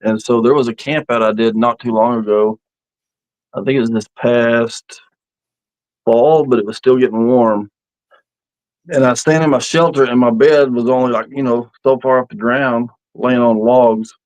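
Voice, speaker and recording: Man, fifties, folksy speech, rambling storyteller, casual live-stream host, medium pitch. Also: fairly even intonation, normal speed, darkish tone, dry recording, rough recording. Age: 50s. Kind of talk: casual live-stream chat about camping.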